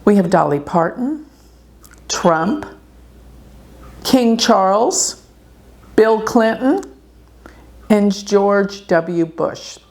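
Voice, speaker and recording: Slow, gravelly-voiced woman astrologer. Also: on the low side, a little mellow. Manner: slow, earnest, warning tone with throat clearing. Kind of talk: casual talk to camera.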